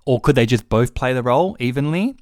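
A man's voice: medium pitch, animated, brisk, a little mellow, no echo, studio quality. A man co-hosting a podcast in casual chat, rambling with plenty of fillers and restarts. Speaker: podcast co-host.

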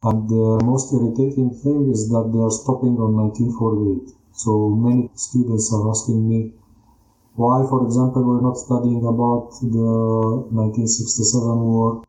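A man in his thirties from Jaffa with a Palestinian Arabic accent, answering interview questions in deliberate, phrase-by-phrase non-native English. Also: mid-range voice, flat delivery, warm tone. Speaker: history teacher, interview guest. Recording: roomy echo.

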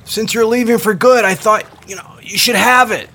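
A man voicing a flustered young man who shouts up from the water. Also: sits high, normal speed, voice pushed up, heavily acted. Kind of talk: audiobook character voice.

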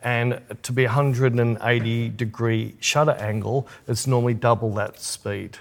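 Aussie guy podcast cohost, gravelly voice, chatty and keen explaining stuff. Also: medium pitch, normal speed. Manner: chatty, animated when explaining. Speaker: podcast co-host. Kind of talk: casual chat.

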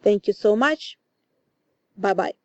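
A woman from Ukraine leaving a recorded phone question for a podcast, careful and measured. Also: medium pitch, expressive, darkish tone, no room sound, muffled recording. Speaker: listener calling in a question. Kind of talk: recorded voice message.

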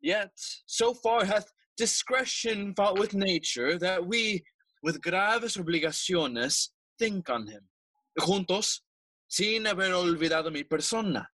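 An actor playing a stately, smooth-talking usurper king with formal, declamatory oratory in a bilingual Spanish-English audio play. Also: sits high, expressive, takes it slowly, pushed and bright, dry recording, full character voice. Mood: solemn, regal.

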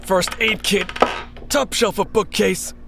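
An actor playing a wounded rebel agent, dry and blunt with a gravelly voice, in a sci-fi audio drama. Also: medium pitch, steady tone, takes it slowly, loud music behind the voice. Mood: dry, weary.

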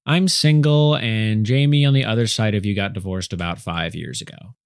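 A man's voice: on the low side, normal speed, clear, resonant tone, no echo, studio quality, natural voice. A male narrator voicing a friendly, easygoing young man helping his new neighbor.

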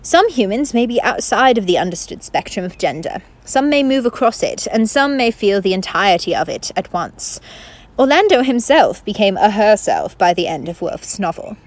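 A woman's voice: mid-range voice, sing-song, moderate pace. A woman playing a learned narrator in a radio play, reading scripted narration in a formal, lecturing manner. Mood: formal, didactic. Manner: formal, didactic. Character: learned, lecturing female narrator who interrupts the scene.

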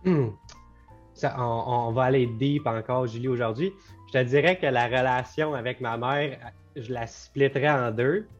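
A man's voice: mid-range voice, steady tone, measured pace, faint music behind. A young man with a Quebec French accent and a mid-range voice, talking loosely and at length as a podcast guest, with English words slipped in. The recording sounds a bit dull and lo-fi. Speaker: business co-owner and guest. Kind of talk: casual podcast conversation.

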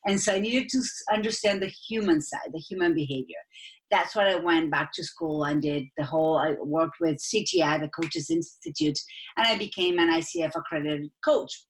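A woman in her forties from Argentina with an Argentine Spanish accent, a guest executive coach speaking in a podcast interview, fluent and animated. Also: sits low, normal speed, low-quality recording. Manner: fluent and animated, with fillers like "you know" and "like".

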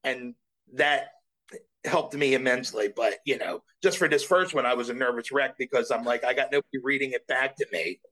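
A man's voice: medium pitch, fairly quick, sharp tone, rough recording. Middle-aged male guest, earnest, self-deprecating, mid-range voice. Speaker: voice actor guest. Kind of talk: casual interview chat.

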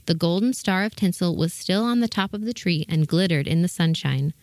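A woman reading a scripted children's story as narrator, in a measured, expressive manner. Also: on the low side, no room sound.

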